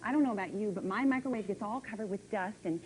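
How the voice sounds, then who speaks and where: A woman's voice: medium pitch, quick pace, a little mellow, a little hiss or hum, natural voice, low-quality recording. A woman playing a fretful middle-aged neighbor who rambles and stammers through her worries on a game show. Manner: rambling, stammering with nervous restarts. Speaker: comic character on a kids' game show.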